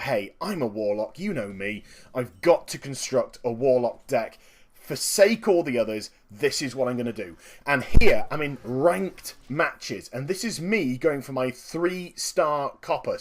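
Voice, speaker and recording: Slightly croaky upbeat man. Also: on the high side. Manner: upbeat, chatty, explains each move. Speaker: game streamer and commentator. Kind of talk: gameplay commentary.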